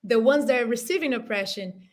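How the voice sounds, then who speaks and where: A woman's voice: fairly high pitch, lively intonation, brisk. A woman in her thirties from Brazil, with a Brazilian Portuguese accent, whose first language is Portuguese. She speaks earnestly and emotionally on a panel over a video call, searching for words. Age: 30s. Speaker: panel guest. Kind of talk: impassioned panel discussion.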